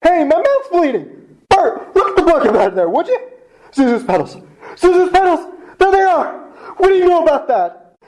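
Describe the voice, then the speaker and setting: An actor playing a desperate family man who pleads and shouts, then turns overjoyed. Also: extremely high pitch, big pitch swings, normal speed, slightly rough, full character voice, rough recording. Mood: giddy joy. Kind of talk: stage or radio play acting.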